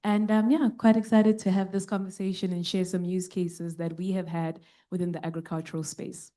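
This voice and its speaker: A woman's voice: lowish voice, level tone, a little fast, dark tone. Upbeat South African woman founder. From Zimbabwe, raised in South Africa. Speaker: panelist, tech founder.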